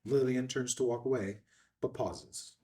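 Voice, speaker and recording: A man's voice: medium pitch, fairly even intonation, unhurried, dark tone. A man reading a film script's stage directions as narrator, in a matter-of-fact way.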